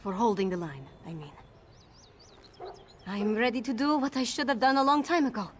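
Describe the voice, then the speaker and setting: A woman voicing a resolute young princess in a video game, speaking in a firm, earnest and measured way over game music. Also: fairly high pitch, slight background noise, lightly acted. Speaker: princess of the city.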